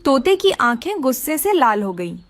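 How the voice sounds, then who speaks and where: A woman's voice: sits high, sing-song, normal speed, no room sound. A woman giving scripted narration for a children's animal story.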